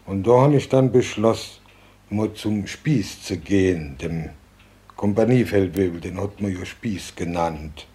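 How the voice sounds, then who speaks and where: An older man playing a war veteran who haltingly recalls his youth, searching for words. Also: sits low, dark tone. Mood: reminiscing, halting. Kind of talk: halting spoken recollection.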